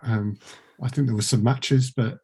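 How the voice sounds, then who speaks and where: A man hosting a casual chat as interviewer, halting and often repeating his words. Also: mid-range voice, fairly even intonation, mellow, husky. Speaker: producer and interview host.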